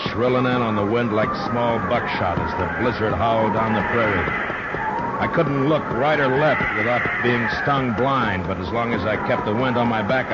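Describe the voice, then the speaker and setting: An actor playing a weary, steady frontier lawman narrating a radio western in a measured, grave way. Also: medium pitch, close to an everyday voice. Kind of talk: dramatic old-time radio western narration. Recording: dull, noisy old radio recording.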